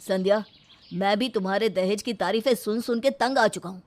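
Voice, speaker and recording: A woman's voice: mid-range voice, a little fast, music in the background, voice pushed down, close to an everyday voice. A woman voicing a fed-up young husband in a scripted story, stern and reproachful.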